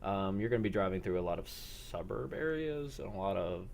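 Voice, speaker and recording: A man with a deep voice acts as game master in a casual online session. He talks informally to his players. Faint noise sits behind his voice. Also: animated, brisk, creaky, a little mellow. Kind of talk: casual chat while running an online tabletop game.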